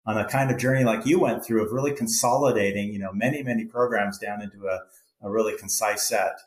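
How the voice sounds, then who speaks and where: A man's voice: lowish voice, fairly even intonation, quick pace, reverberant. A man hosting an interview, asking questions and affirming answers in an upbeat way. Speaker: podcast host.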